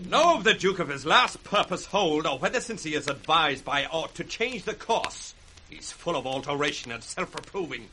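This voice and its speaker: An actor with a British accent playing a commanding, scheming military lord in a 1960s radio Shakespeare, clipped and theatrical. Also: male voice, on the high side, expressive, moderate pace, over loud music, close to an everyday voice. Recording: noisy old radio drama recording.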